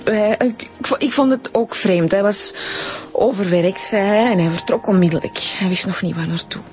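Guarded, halting Flemish woman played by an actress. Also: medium pitch, darkish tone, faint music behind, close to an everyday voice. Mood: uneasy. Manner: terse, halting. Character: guarded, uneasy adult daughter of a doctor. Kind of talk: radio drama acting.

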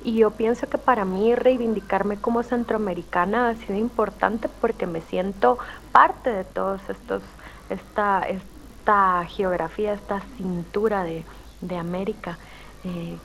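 A woman's voice: medium pitch, fairly even intonation, unhurried, soft background music, poor audio. A woman from Guatemala, a rapper and guest on a radio show, speaking thoughtfully with frequent restarts. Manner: thoughtful, searching, many restarts. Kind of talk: reflective interview talk.

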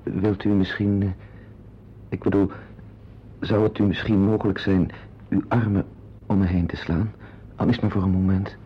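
A low-pitched male actor playing a needy, flustered man in a radio drama, speaking. He is hesitant and confiding, on a dull old recording with rumbling noise. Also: level tone, moderate pace, pauses often, close to an everyday voice. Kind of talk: radio drama acting with asides to the listener.

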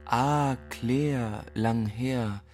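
An actor playing an evasive, overwhelmed male schoolteacher in a radio drama, with a halting, guarded and formal manner. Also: mid-range voice, darkish tone, faint music behind. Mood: uneasy, evasive. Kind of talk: stage-like radio drama dialogue.

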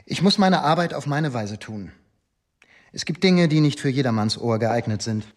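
Actor playing a formal, self-assured police inspector. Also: male voice, mid-range voice, expressive, lightly acted. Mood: defensive. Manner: formal, measured, self-justifying. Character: deferential but self-assured police inspector guarding his informant. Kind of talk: German radio drama dialogue.

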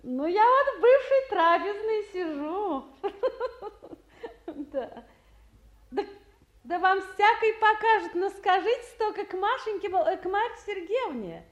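A busy official with a high feminine voice. She is used to giving orders, yet has a motherly streak, acted in the manner of 1950s radio theatre. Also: very animated, slow pace, long pauses, full character voice. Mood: bright, friendly. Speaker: official in charge. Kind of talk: 1950s Russian radio play acting.

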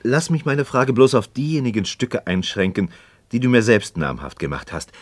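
An actor playing an inquisitive, skeptical but courteous friend in a radio drama, speaking in a deliberate, questioning way. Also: male voice, mid-range voice, animated, no room sound, close to an everyday voice. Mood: probing, skeptical. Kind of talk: scripted philosophical dialogue in German radio drama.

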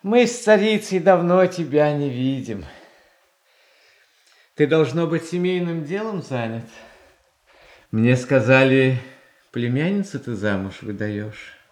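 An actor playing a gentle, pious, anxious Russian tsar in a radio drama, speaking haltingly. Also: male voice, on the high side, expressive, roomy sound. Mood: gentle, hesitant. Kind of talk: classical Russian stage tragedy acting for radio.

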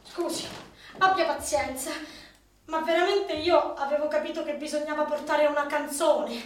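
A woman playing a struggling young actress in a live one-woman stage monologue, self-mocking, with quick punchlines and bits of song. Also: sits high, heavy reverb, recorded from a distance, lightly acted. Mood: polite. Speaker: stage performer.

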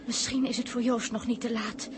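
A frightened young woman aboard a hostile ship, played by an actress, speaks in an urgent, worried voice. Her voice is high, with clear background noise over the line. It sounds like an early 1960s radio play. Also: little pitch movement, fairly quick, lightly acted. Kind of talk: 1960s radio drama acting.

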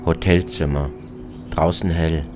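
A man reading a scripted literary radio travelogue as its narrator, in terse, deliberate fragments. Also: rumbling low, steady tone, noisy background. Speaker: author narrating his own journey. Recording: music bed under the voice. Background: music.

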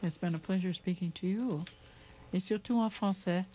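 Mellow-voiced woman hosting a radio show. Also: on the low side, moderate pace. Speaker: radio host. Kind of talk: casual radio interview.

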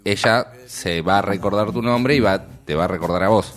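A man's voice: fairly low pitch, soft background music. A man co-hosting a comedic radio show, playful and teasing. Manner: playful, teasing, quick to laugh.

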